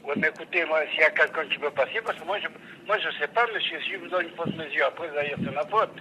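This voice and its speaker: A man speaking as a customer on a phone call, stubborn and indignant, often repeating his words haltingly. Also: a little high, a crowd in the background. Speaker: customer being prank-called. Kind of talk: phone conversation. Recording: noisy telephone line.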